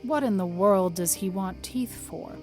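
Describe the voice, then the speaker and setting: A woman voicing a stern, reserved woman of sixty who speaks in a brisk, dry, severe way. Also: mid-range voice, darkish tone, faint music behind, close to an everyday voice.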